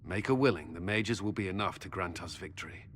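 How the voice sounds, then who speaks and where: A stern commander in a ringing hall. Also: male voice, sits low, fairly quick, fairly bright tone. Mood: resolute. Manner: firm, argumentative, duty-bound. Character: stern, wary male military commander. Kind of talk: video game dialogue acting. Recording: echoey stone hall, faint background noise.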